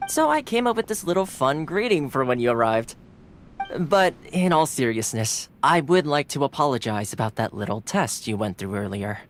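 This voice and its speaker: A man's voice: medium pitch, slight background noise, natural voice. A man voicing a friendly young man who speaks through a dog, teasing at first and then earnest. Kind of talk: video game dialogue. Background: game music.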